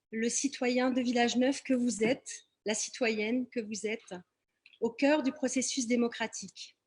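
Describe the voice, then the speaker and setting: High, slightly husky woman addressing a meeting. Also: darkish tone. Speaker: municipal election candidate. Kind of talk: public meeting presentation, partly improvised.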